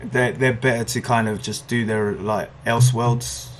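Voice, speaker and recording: A man's voice: medium pitch, fairly even intonation, moderate pace, a little hiss or hum. A man co-hosting a podcast, chatting casually in a hesitant, agreeable way. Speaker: podcast co-host. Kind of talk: casual chat about comic book movies.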